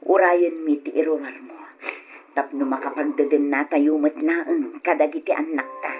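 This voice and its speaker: A woman's voice: low-pitched, fairly even intonation, moderate pace, music in the background, lightly acted. Woman acting a tender Ilocano mother, muffled radio. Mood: gentle, consoling. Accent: Filipino, Ilocano. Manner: earnest, sentimental. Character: loving, forgiving mother and wife, tender and devout. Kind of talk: old radio drama acting. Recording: dull, noisy old radio drama recording.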